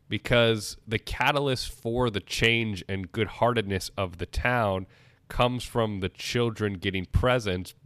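A man's voice: deep voice, fairly bright tone, no room sound. A man in his twenties co-hosting a podcast, reviewing a film in earnest, rambling casual chat with many restarts. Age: 20s. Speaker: podcast co-host.

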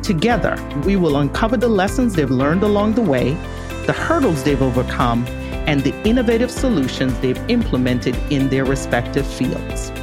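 A woman's voice: low-pitched, sing-song, normal speed. A woman reading a podcast intro in an upbeat, polished way. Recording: voice over guitar music.

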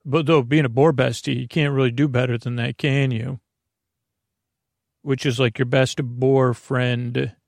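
A man hosting a bedtime podcast in a creaky, gravelly voice, rambling in a halting, meandering way and drifting into tangents mid-sentence. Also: mid-range voice, flat delivery, darkish tone, no room sound, studio quality. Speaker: podcast host. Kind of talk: rambling bedtime monologue for falling asleep.

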